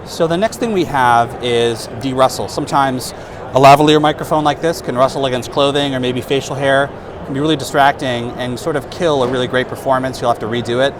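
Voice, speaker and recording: A man in his thirties, a product specialist, giving a relaxed, explanatory trade-show demo on a lapel mic. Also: mid-range voice, brisk. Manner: relaxed, explanatory, casual fillers. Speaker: product specialist demonstrating software. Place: trade-show booth, indoors. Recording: noisy trade-show booth.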